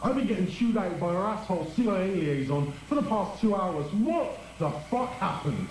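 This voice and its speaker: A man's voice: sits high, sing-song, normal speed, darkish tone. A man playing an angry, foul-mouthed station boss, sarcastic and berating, in a horror drama. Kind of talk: dramatic horror audio acting. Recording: very echoey, distant, faint noise.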